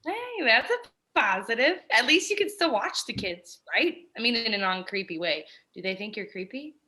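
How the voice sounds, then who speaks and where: Woman as an excitable, animated young roommate. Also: a little high, moderate pace. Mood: teasing. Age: young adult. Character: excitable, teasing early-twenties roommate sibling. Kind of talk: dramatic script reading.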